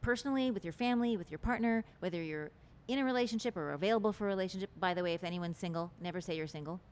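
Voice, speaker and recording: A woman's voice: on the low side, rapid-fire, clean tone. A woman guest in an interview, talking about relationships in an articulate, expansive and earnest way. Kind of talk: interview conversation.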